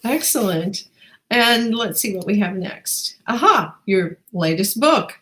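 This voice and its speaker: A woman's voice: mid-range voice, lively intonation, slow pace, fairly bright tone. A woman hosting a casual interview in an encouraging, affirming manner. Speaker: interviewer and host.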